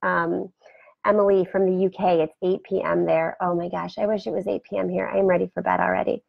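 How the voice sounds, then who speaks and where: Woman with a scratchy, gravelly voice. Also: on the low side, flat delivery, a little fast, no room sound, dull audio. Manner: chatty, rambling, greets viewers by name. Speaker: host. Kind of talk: casual live-stream chat. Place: home room beside a turtle aquarium. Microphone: webcam for a live video.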